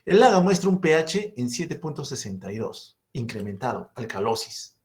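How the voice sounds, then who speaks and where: A man's voice: medium pitch, big pitch swings, normal speed, darkish tone. A man in his fifties with a Peruvian Spanish accent, giving a medical lecture and asking and answering his own questions. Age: 50s.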